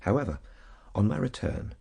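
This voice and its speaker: An actor playing an earnest, reflective gentleman time traveller in a radio drama, moving between measured storytelling and simple, tender coaxing. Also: fairly low pitch, very animated, gravelly, breathy edge, exaggerated voice. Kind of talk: radio drama narration and acting.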